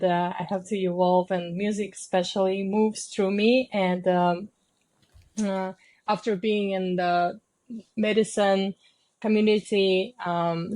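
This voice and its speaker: Slow, mellow woman. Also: medium pitch. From Kazakhstan. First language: Kazakh. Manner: halting, many fillers and pauses. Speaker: guest, sound healer and musician. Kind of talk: reflective interview answers.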